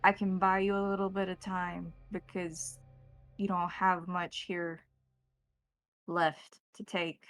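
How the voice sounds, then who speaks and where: Woman acting as gruff policeman, blunt, wary, halting speech, creaky voice, music behind. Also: lowish voice, flat delivery, a bit bright. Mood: guarded, helpful. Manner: swearing, blunt, halting cop talk. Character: gruff male police colleague on the phone, brusque, wary.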